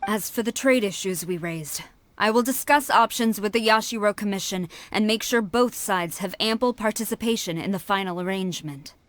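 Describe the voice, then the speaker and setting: A woman's voice: mid-range voice, normal speed, dry recording, close to an everyday voice. A woman playing a stern, dutiful, proud military commander in a video game, speaking formally and with authority. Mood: formal, measured.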